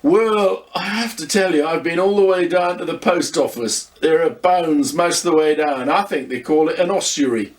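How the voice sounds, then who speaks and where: A man voicing a gruff, blustering pub landlord who talks in a conspiratorial way. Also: fairly high pitch, moderate pace, hardly any pauses, recorded from a distance, voice pushed up, lightly acted.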